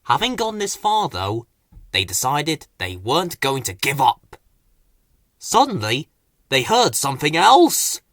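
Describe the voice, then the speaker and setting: A man reading a children's story as narrator, in a dramatic, expressive scripted delivery. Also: fairly high pitch, unhurried.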